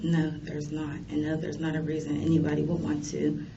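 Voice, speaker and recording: A woman's voice: low-pitched, completely flat delivery, fairly quick, gravelly. An American woman answering police questions as a suspect, defensive and firm in her denials. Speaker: suspect being questioned, a mother. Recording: distant, muffled, noisy interview room recording.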